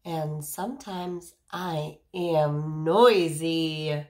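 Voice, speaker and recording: A woman's voice: sits low, very slow, a little mellow. A woman in her thirties reading a picture book aloud to young children as a teacher, explaining simply and putting questions to her listeners.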